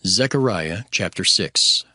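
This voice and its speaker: A man's voice: deep voice, animated, slow pace, pushed and bright, no echo. A man narrating scripture from a script as a formal reader.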